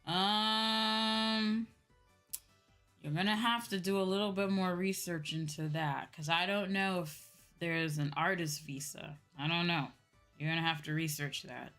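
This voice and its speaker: A woman's voice: fairly low pitch, steady tone, measured pace, a bit bright. A woman streamer chatting casually and giving advice in a conversational way, often repeating herself for emphasis. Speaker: streamer host. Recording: background music. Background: music.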